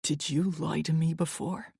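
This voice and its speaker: A woman's voice: low-pitched, fairly even intonation, a little slow, gravelly, darkish tone, husky, dry recording, clean studio sound, exaggerated voice. A woman voicing an angry young man who sounds cold and clipped and talks through clenched teeth. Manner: clipped, seething.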